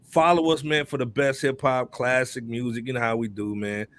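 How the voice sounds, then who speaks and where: A man's voice: mid-range voice, fairly even intonation, normal speed, a bit bright, dry recording. A middle-aged man with an American accent, hosting a casual hip hop podcast in loose slang and repeating phrases for emphasis. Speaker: podcast host.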